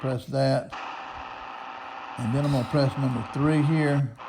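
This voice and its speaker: A man's voice: mid-range voice, steady tone, unhurried. Man over noisy radio static. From United States. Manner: unscripted, narrating each step as he goes. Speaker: radio hobbyist demonstrating a receiver. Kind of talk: casual hands-on tutorial. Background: radio static and noisy broadcast.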